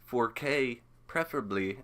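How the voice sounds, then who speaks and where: A young man hosting a casual comedy podcast, laughing and joking often, his voice still a little rough from being sick. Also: medium pitch, lively intonation, a little slow, sharp tone. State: recovering from sore throat. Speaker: podcast host.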